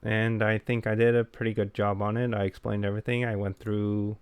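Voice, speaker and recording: A man talks in a low, fairly flat voice with a smooth, clear tone. He sounds low-key and self-effacing, like a co-host in a relaxed podcast conversation. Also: brisk, no echo. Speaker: podcast co-host who makes gaming videos. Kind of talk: casual podcast chat.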